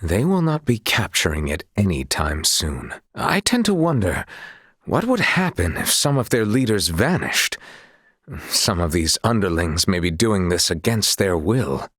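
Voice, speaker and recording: A dutiful, remorseful knight from a fantasy video game. His voice sits on the low side and has a husky grain. He speaks in formal, archaic courtly phrases with solemn sincerity, recorded close and dry. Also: big pitch swings, measured pace, a bit bright, dry recording, heavily acted. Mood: grave, sincere. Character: solemn honourable male former sea knight, remorseful, dutiful. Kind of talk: dramatic scripted game dialogue.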